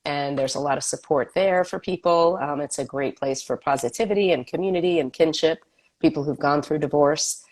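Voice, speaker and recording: A woman's voice: deep voice, brisk, darkish tone. A woman who is a health coach, a guest on an interview show, talking in an upbeat way while sniffling from a cold. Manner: upbeat, promotional. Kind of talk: casual interview chat.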